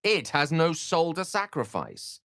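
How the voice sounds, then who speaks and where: A man's voice: on the high side, very animated, a little slow, a bit bright, dry recording, clean studio sound, heavily acted. A man playing an erudite, courteous and wry wizard in a video game, speaking in eloquent, theatrical sentences. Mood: reflective. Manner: eloquent, articulate, theatrical, wordy. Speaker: video game character voice actor.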